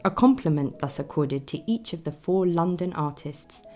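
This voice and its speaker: An actress voicing a formal Victorian newspaper critic, reading deliberately in a radio play. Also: female voice, sits low, expressive, over music. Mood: formal, appraising. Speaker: reader of newspaper notices. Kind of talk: dramatised reading of 19th-century newspaper.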